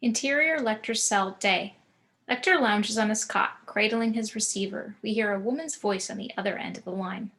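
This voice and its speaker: A woman narrator with a medium-pitched voice reads scripted scene directions. Her delivery is measured and descriptive. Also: fairly bright tone. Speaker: narrator reading action description. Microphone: video call.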